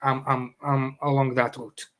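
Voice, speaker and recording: A man's voice: mid-range voice, flat delivery, unhurried, fairly bright tone. A middle-aged man from Iraq, a native Arabic speaker, chatting casually as a podcast guest, jokey and full of hesitant fillers. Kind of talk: casual conversation.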